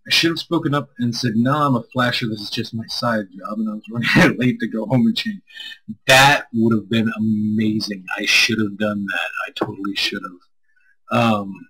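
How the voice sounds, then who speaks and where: A man's voice: lowish voice, steady tone, moderate pace, bright, forceful tone, breathy edge, low-quality recording. A man streaming as a co-host, chatting casually with viewers in a rambling, self-deprecating way.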